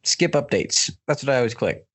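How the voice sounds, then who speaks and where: A man's voice: mid-range voice, sing-song, fairly quick, slightly rough. A man co-hosting a podcast with casual, laid-back, flippant banter. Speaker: podcast co-host.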